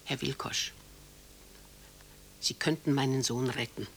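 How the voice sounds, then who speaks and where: A woman's voice: very deep voice, measured pace, vocal fry, a bit bright, slight background noise, heavily acted. An actress playing a proud, protective elderly mother in a German radio drama, formal and emphatic as she pleads for her son. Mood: pleading. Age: older.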